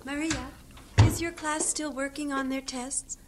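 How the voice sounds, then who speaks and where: High-voiced, kindly woman confidante. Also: steady tone, measured pace, heavy background noise. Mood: curious. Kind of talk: audio drama acting.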